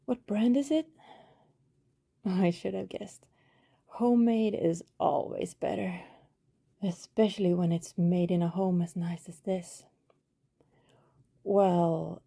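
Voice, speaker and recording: A woman playing a friendly, apologetic vampire who poses as a shy neighbor in an intimate ASMR roleplay, rambling hesitantly in a soft, husky, breathy voice. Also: lowish voice, heavily acted.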